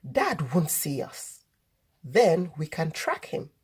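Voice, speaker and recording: A woman voicing excitable, bold young boys in a children's storybook, with urgent, emphatic exclamations. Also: deep voice, slow, husky, exaggerated voice. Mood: hushed, bossy. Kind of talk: children's storybook read-aloud.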